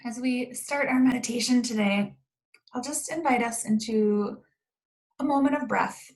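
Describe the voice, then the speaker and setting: A woman leading a guided meditation in a calm, gentle, unhurried way with long pauses and a creaky voice. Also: fairly high pitch, rough recording.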